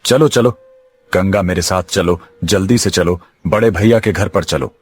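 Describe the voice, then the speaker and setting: A man playing a greedy, excitable younger brother in a village cartoon story, talking in a hurried, agitated way. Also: deep voice, husky, faint music behind. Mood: agitated, demanding. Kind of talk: Hindi cartoon drama acting.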